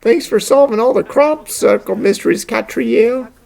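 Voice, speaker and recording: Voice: medium pitch, moderate pace, gravelly, a little mellow, soft background music. An actor playing a timid, whiny, emotional young male farmer in a cartoon fan dub, plaintive and hesitant. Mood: tearful, relieved.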